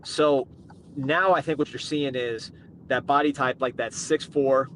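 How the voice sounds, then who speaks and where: A man's voice: mid-range voice, fairly even intonation, a little slow, low-quality recording. A man hosting a sports podcast, talking casually and rambling with frequent restarts and asides. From Cuban heritage. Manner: rambling, self-interrupting, conversational. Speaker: podcast host. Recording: noticeable background noise.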